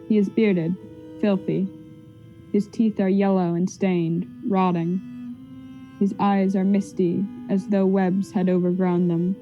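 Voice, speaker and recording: A female narrator reads scripted stage directions for a drama. Her voice is deeply mellow and very level in pitch, recorded somewhat dully, with faint music underneath. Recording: dull, lo-fi sound with faint music.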